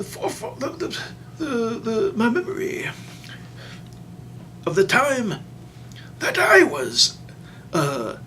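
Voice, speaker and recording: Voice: medium pitch, bright, forceful tone, slight background noise, exaggerated voice. A performer voicing a doddering, folksy old man who rambles and stammers through a comic storytime monologue. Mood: rambling, reminiscing. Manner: rambling, halting, stammering old-timer delivery. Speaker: storyteller, show host.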